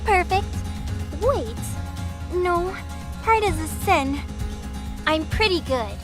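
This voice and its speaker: A woman's voice: extremely high pitch, expressive, slow pace, music in the background, exaggerated voice. A woman playing an earnest, pious young gunslinger in an audio drama, with an eager manner.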